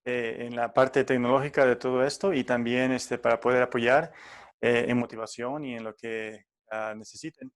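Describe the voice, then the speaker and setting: A man from Ecuador giving friendly but halting logistics instructions on a video call, with many fillers. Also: mid-range voice, fairly even intonation, clean tone. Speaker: tech support and co-host.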